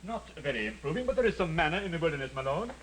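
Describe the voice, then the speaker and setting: An actor playing an obsequious, precise secretary flattering his lord, in period radio drama style. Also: a little high, very fast, slight background noise. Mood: smooth, obsequious. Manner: fawning, precise.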